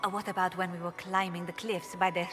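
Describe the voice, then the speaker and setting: A woman's voice: lowish voice, level tone, fast, close to an everyday voice. An actress playing a bubbly, boastful young noblewoman in a video game, airy, theatrical and mischievous. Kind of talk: video game dialogue acting. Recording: clear music behind the voice.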